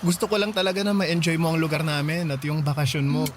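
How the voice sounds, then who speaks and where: A man's voice: on the high side, flat delivery, fast, noisy background, close to an everyday voice. An actor with a Filipino accent playing a shy, smitten, kind young provincial man in a radio drama. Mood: flustered. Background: background music.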